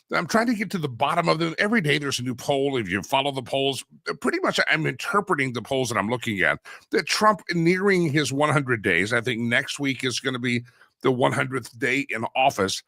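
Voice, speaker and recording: A man hosting a talk show with a lively, bouncing delivery. His voice is bright and a little hoarse from a cold, and he rambles and jokes with plenty of fillers. The sound is dry and close on a studio mic. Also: mid-range voice, no room sound. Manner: rambling, joking, filler-heavy. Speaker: radio and stream host. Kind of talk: casual talk show monologue.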